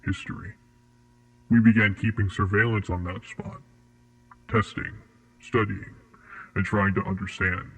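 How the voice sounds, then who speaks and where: A man playing a cold, formal interrogator at a secret facility in a horror audio drama, with a husky, measured delivery. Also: on the low side, wildly swooping pitch, a bit bright, full character voice, low-quality recording.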